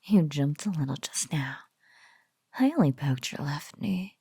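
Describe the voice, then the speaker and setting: A woman voicing a sultry, smugly menacing spider-woman bully in an intimate ASMR roleplay, breathy and often slipping into whispers, with mock-pitying coos. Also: deep voice, lively intonation, a little slow, dark tone, dry recording, clean studio sound, exaggerated voice. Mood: playful, mocking.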